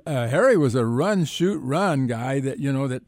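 An American man co-hosting casual sports radio talk, halting and repeating himself as he goes. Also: mid-range voice, lively intonation, darkish tone, no room sound, clean studio sound. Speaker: radio show co-host.

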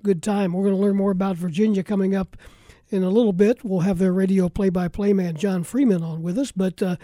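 A man's voice: high voice, fairly even intonation, brisk, dark tone, no echo, studio quality. A man with an American accent hosting a sports talk show on the radio in a casual, conversational style. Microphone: close studio broadcast mic.

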